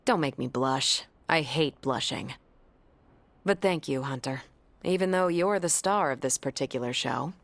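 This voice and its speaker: A woman's voice: rumbling low, a little slow, no room sound. A woman playing a confident, teasing, breezy superhero in a video game. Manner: wry, playful banter.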